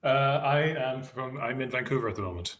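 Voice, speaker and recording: Guy calling in, bright punchy voice, talks fast, jokey. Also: mid-range voice. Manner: chatty, joking. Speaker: caller. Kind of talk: casual chat.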